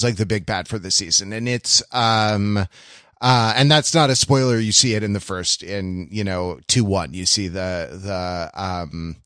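A middle-aged American man co-hosting a casual podcast, speaking in halting, enthusiastic, digressive runs. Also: lowish voice, fairly bright tone, no echo, clean studio sound. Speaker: podcast co-host.

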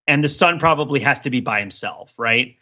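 A man's voice: medium pitch, a little fast, pushed and bright, lo-fi sound. A man co-hosting a podcast in casual, rambling discussion, often tossing in "like" and "right.". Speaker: podcast co-host.

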